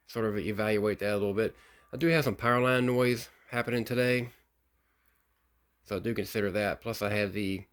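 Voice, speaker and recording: A man casually narrating a hobbyist review in an unhurried, folksy, explanatory way. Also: fairly low pitch. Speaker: radio hobbyist presenting a video.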